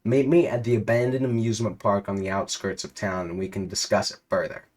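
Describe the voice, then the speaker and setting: A smug, bald villain in a shiny suit, as a man reads him aloud speaks with theatrical grandeur. The voice sits a little lower than usual and sounds husky. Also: flat delivery, fairly quick, darkish tone, close to an everyday voice. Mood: scheming.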